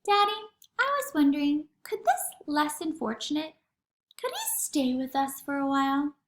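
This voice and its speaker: An earnest young girl from a storybook, acted out by a woman reader. Her high voice jumps around with eager, emphatic pleading. It sounds roomy and echoey. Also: big pitch swings, moderate pace, a little hiss or hum. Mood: careful pleading.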